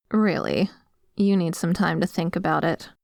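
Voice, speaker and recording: A woman's voice: lowish voice, a little mellow, no room sound. Woman acting a possessive vampire woman, close and dry. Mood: commanding, menacing. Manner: breathy sighs, drawn-out savouring words, slipping into whispers; commanding, condescending. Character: dominant, possessive vampire woman, obsessive and hungry. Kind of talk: scripted ASMR roleplay audio, addressing the listener.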